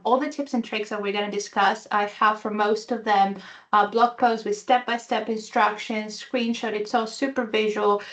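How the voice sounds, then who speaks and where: A woman's voice: on the high side, a bit bright. Chatty woman with Greek-Italian accent. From Greece and Italy. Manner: enthusiastic, chatty, many um fillers. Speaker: guest speaker. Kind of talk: virtual user group presentation.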